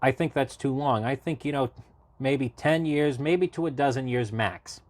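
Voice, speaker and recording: A man's voice: mid-range voice, moderate pace, no room sound. A man in his late twenties from Massachusetts, a guest in a casual podcast interview, talking at length with many fillers and restarts. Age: 20s. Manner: talkative, rambling, frequent fillers and restarts. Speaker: podcast guest, local party committee chair.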